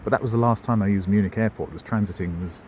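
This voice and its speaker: A man's voice: lowish voice, quick pace, a little mellow, a little hiss or hum. A middle-aged man, giving a rambling walking-tour commentary with wry, self-mocking asides, outdoors in a town street. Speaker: travel vlogger.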